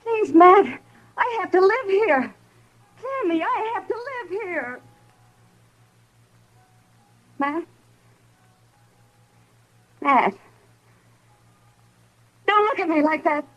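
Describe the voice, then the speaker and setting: A woman's voice: very high voice, sing-song, slow, pauses often, a bit bright, exaggerated voice. An American woman playing a distraught, insistent frontier townswoman, beseeching and emotional in a Western radio drama. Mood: pleading. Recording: tinny phone-like vintage radio recording.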